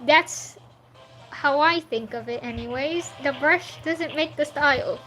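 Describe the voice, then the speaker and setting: A woman's voice: high-pitched, measured pace, pushed and bright, soft background music, poor audio. A woman, not a native English speaker, chatting casually on a livestream while she draws and answers chat, laughing often. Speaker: streaming artist.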